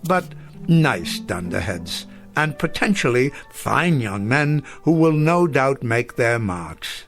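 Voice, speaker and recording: An actor playing a gentle, bookish old literature professor in a radio drama, courteous and wordy in an old-fashioned formal way. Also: male voice, medium pitch, sing-song, slow pace, faint music behind, exaggerated voice. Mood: wry, fond.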